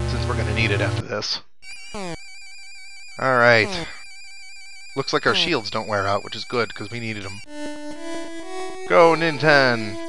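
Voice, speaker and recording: Man, casual game commentary, wry and chatty, middling pitch, prominent game music behind him. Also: low-quality recording. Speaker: let's player. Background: video game music.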